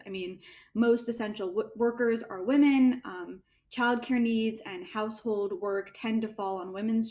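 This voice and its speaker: A young woman volunteer moderating an online panel on a video call, speaking casually and politely with many filler words. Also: mid-range voice. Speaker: event moderator.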